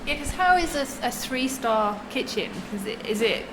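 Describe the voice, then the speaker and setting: A woman hosting an interview, asking questions and offering quick friendly interjections. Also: high-pitched, animated, background noise. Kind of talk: casual interview.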